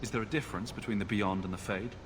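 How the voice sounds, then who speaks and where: Actor as a low, echoey male fantasy hero. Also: steady tone, fast, slight background noise, close to an everyday voice. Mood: curious. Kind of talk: video game dialogue acting.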